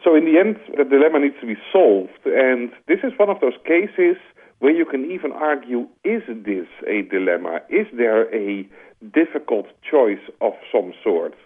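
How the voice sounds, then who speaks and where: A man's voice: mid-range voice, very animated, moderate pace. A man with a Dutch accent answering interview questions, explaining his points conversationally with analogies and "like" fillers. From Netherlands. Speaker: interview guest, corporate strategy executive. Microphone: telephone. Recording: tinny phone line.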